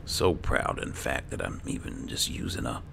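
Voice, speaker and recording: A man murmuring low-key in-character gameplay commentary, often half-whispering as if musing to himself. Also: animated, moderate pace, vocal fry, background noise. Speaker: gaming video host playing a roleplay character.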